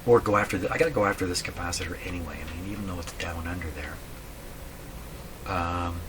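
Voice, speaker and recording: A man thinking aloud in a casual, halting way as he explains a repair at his workbench, often putting questions to himself. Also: low-pitched. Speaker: radio repair hobbyist, host. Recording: clearly noisy room recording.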